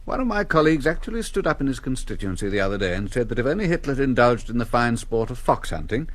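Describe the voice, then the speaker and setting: Male actor as disillusioned English parliamentarian, wry, rhetorical, mid-pitched, lively swooping delivery, radio drama. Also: quick pace, close to an everyday voice. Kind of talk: stage-play radio drama acting.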